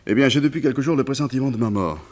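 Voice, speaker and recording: A man's voice: medium pitch, very fast, darkish tone, close to an everyday voice. An actor playing an anxious, haunted man in a French radio play, earnest, brooding and confiding. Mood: anguished. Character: anxious, haunted man, devoted to his pet tiger.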